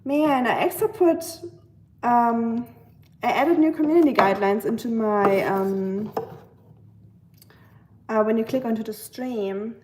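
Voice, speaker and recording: A young woman with a German accent chats with her stream viewers while cooking at home, her voice sitting a little high. She answers chat messages at an easy pace, full of ums and likes, and now and then drifts back from the mic. Faint chopping and clicks are heard behind her in the kitchen. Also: background noise. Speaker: livestream host.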